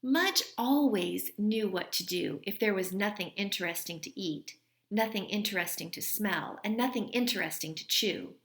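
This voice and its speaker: A woman reading a children's story aloud expressively and deliberately, as a teacher speaking to young listeners. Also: medium pitch.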